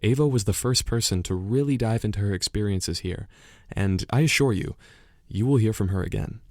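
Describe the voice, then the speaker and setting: An actor plays an earnest man hosting an investigative audio drama. His low voice has some fry and is recorded close and dry. He narrates in a measured, reflective, slightly portentous documentary manner. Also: no echo, close to an everyday voice. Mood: grave, intrigued. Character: earnest male investigative podcast host from Los Angeles.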